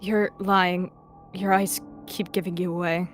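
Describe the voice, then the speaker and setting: Girl doing a stubborn kid tomcat, yelling n stammering, music faint in the back. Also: fairly low pitch, level tone, measured pace, close to an everyday voice. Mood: accusing. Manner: shouting, stammering, emotional. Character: stubborn young male apprentice cat, grieving.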